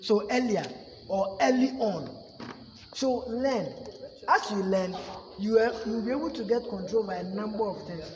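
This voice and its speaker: A man's voice: sits high, normal speed. A man with a Ghanaian accent teaching a public speaking class in an emphatic way, often asking "you see?". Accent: Ghanaian English. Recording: echoey room with long reverb.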